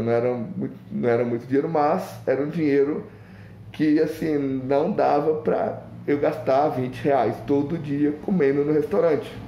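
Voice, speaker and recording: Mellow-voiced mineiro man musing aloud. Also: mid-range voice, reverberant. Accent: Mineiro Brazilian Portuguese. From Minas Gerais, Brazil. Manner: hesitant, thinking aloud with many restarts and fillers. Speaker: vlogger. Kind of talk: rambling casual monologue.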